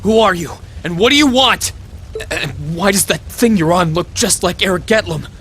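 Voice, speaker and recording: A man's voice: high voice, lively intonation, moderate pace, sharp tone, a little hiss or hum. A man playing an earnest, desperate young hero swordsman in a video game, intense and emotional. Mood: wary, demanding. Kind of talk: dramatic video game voice acting.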